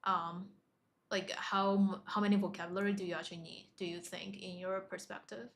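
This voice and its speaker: A woman's voice: mid-range voice, flat delivery, fairly quick, creaky, a bit bright, slight background noise. Chatty woman co-hosting a live stream. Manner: chatty, filler-heavy, with many "like" and "um". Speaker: co-host and interpreter.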